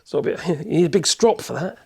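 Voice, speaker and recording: Hoarse man, gravelly. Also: a little high, animated, fast, a little hiss or hum. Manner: rambling, chatty, self-correcting, chuckles. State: hoarse with a cold. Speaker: woodworking channel host. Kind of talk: casual workshop demonstration. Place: workshop.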